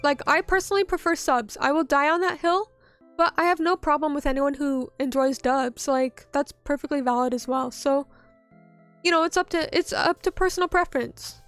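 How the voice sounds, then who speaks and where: A woman's voice: sits high, moderate pace, fairly bright tone, faint music behind. A woman streamer chatting casually with her viewers, reading their messages aloud and rambling with lots of "like" and "um.". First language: English. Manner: rambling, many fillers like "like" and "um".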